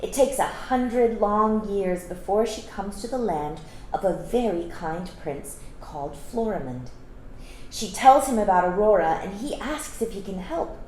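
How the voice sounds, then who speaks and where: A woman reading a children's story aloud expressively, pausing to explain things to young listeners. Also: medium pitch, a little mellow. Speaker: storytime reader. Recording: echoey room with faint background noise.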